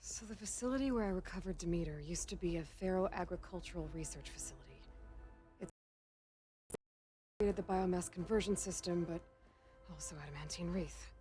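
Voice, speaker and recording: A woman's voice: fairly low pitch, brisk, pauses often, mellow, lightly acted. A woman voicing a determined young hunter. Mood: curious. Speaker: protagonist of a video game. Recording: game audio with faint music.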